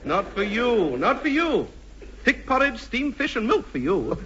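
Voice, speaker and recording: An actor playing a brisk, reassuring family doctor with a matter-of-fact manner in a 1950s radio comedy. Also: male voice, extremely high pitch, very animated, a bit bright, full character voice. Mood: firm, cheery. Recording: muffled 1950s radio recording, faint hiss, clicks.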